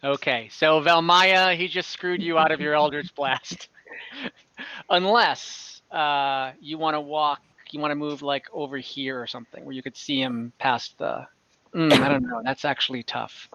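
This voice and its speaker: Game master man, bright, hesitant rulings, dull home call mic. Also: a little high, steady tone, dry recording. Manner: halting, fillers and self-corrections while ruling. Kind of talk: narrating and ruling in an online tabletop game. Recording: dull lo-fi audio.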